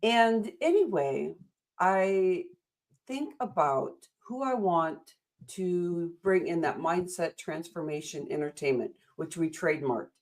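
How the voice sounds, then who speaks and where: An American woman hosting a motivational interview, enthusiastic and a little rambling, speaking in affirming phrases. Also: low-pitched. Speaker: interview host.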